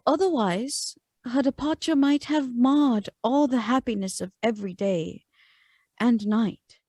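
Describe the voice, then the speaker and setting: A young actress playing a reserved, melancholy gentlewoman in a radio play, speaking in a measured, formal and guarded way. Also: fairly high pitch, no room sound, studio quality. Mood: composed. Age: young adult.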